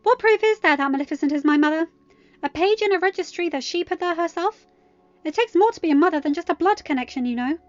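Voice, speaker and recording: A woman's voice: very high-pitched, lively intonation, quick pace, dry recording, lo-fi sound, voice pushed up. A woman voicing a proud, stressed sixteen-year-old girl in an audiobook, snappy and defensive. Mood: scornful. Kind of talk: dramatized audiobook narration.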